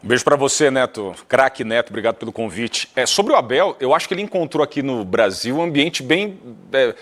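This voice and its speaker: A Brazilian man, a radio journalist guest, arguing a point in a measured way with hesitant fillers. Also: medium pitch, animated, a bit bright. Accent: Brazilian Portuguese. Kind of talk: sports debate commentary.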